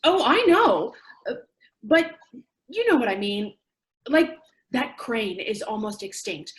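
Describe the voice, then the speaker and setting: A high voice in an echoey room: a woman acting an earnest zoo employee in a stage drama. She explains and defends herself. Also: sing-song, slow pace. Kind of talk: staged play reading.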